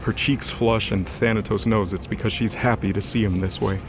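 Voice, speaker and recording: A man's voice: on the low side, steady tone, moderate pace. A man narrating a scripted fantasy drama as a storyteller. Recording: music under the voice.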